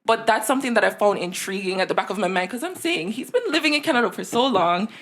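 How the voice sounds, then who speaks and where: A woman in her twenties with a Jamaican accent chats easily as a podcast co-host. Her voice sits at a middling pitch, close on a desk microphone in a small home studio. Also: animated, fast. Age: 20s. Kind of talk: casual podcast chat. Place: small living room studio. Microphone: desk studio mic.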